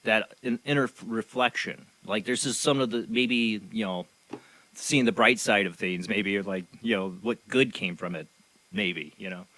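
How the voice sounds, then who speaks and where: A man's voice: lowish voice, moderate pace, bright, forceful tone, dry recording, low-quality recording. A man hosting a paranormal radio talk show, asking rambling, hedging questions full of "you know"s. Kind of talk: casual interview hosting.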